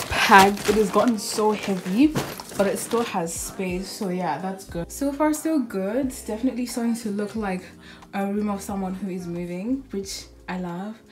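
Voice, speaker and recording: Chatty young woman vlogger with medium pitch. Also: noisy background. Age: 20s. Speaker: vlogger, university student. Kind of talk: casual chatty vlog. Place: small bedroom indoors. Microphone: phone at arm's length.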